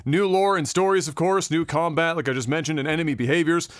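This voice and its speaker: Dry-sounding ranting man, higher voice. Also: fast, dry recording. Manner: profane, wry ranting. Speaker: podcast co-host. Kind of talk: casual gaming news chat.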